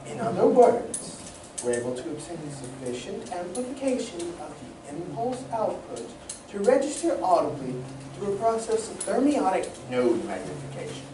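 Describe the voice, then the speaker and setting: A woman playing a proud, excitable, learned inventor in old radio serial style, speaking in a theatrical, professorial way. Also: fairly low pitch, moderate pace. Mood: earnest, explaining. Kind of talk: 1940s radio serial acting. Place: community playhouse stage reading. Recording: distant mic, echoey room, background noise.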